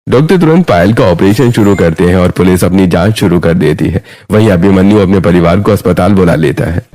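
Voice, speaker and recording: A man's voice: deep voice, brisk, mellow. A man narrating a scripted moral story as its storyteller.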